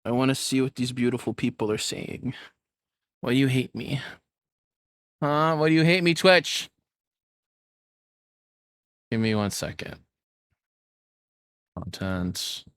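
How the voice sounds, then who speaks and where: A man's voice: fairly low pitch, fairly even intonation, slow pace, pauses often, dry recording, clean studio sound. A man chatting casually as a livestreamer, rambling and swearing in an informal way. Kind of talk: casual reaction stream chat.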